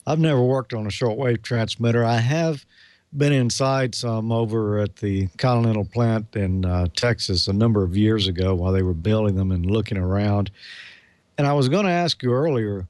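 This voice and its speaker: A man's voice: lowish voice, normal speed, darkish tone, no room sound. A man co-hosting as an engineer, asking technical questions in a casual conversational way. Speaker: co-host, engineer.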